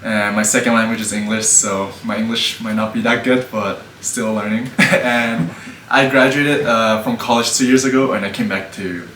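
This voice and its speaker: Young guy in his 20s, japanese first language, laid back, says like a lot, lowish voice, sounds far off in an echoey room. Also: fairly even intonation, moderate pace, hardly any pauses, a bit bright. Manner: easygoing, leans on filler "like". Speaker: interview guest. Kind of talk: casual interview talk. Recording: roomy, distant mic, faint background hum.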